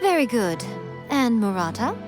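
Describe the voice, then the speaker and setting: A woman's voice: fairly high pitch, big pitch swings, a little slow. A woman playing a sly, self-assured noble shrine maiden in a video game, poised, teasing and a little condescending. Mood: commanding, assured. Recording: music behind the voice.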